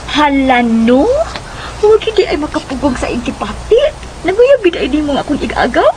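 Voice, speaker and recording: A woman's voice: high voice, big pitch swings, normal speed, music in the background, poor audio. A woman playing a chatty, teasing young confidante in Cebuano radio drama, with a Cebuano accent.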